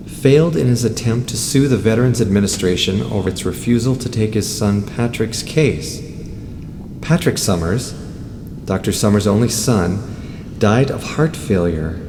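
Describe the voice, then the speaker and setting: An actor playing a nosy, down-on-his-luck motorcyclist in an audio drama, muttering his thoughts in a folksy way. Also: lowish voice. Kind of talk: audio drama acting with spoken inner monologue. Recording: reverberant hollow space with clear background noise.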